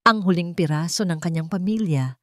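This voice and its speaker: Woman narrating a dramatic story, lowish voice, really dry close sound. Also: normal speed, no room sound.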